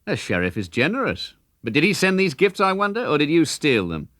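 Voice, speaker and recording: A man's voice: a little high, very animated, brisk, fairly bright tone, no echo, studio quality, voice pushed up. A man playing a commanding, wry outlaw leader with a declamatory, questioning way of talking. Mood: amused, probing.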